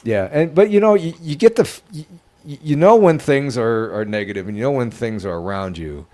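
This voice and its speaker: A man's voice: mid-range voice, a little fast, warm tone. A man chatting casually as a guest paranormal investigator, repeating words and stumbling in an emphatic, stammering way. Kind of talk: casual storytelling chat.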